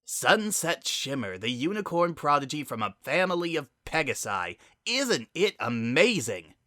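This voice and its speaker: A man's voice: a little high, sing-song, measured pace, bright, forceful tone, dry recording, studio quality, pitched above the performer's own voice, full character voice. A man voicing an angry, sarcastic female pegasus pony, a bitter older sister, in a dramatic story reading. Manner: biting, sarcastic, confrontational.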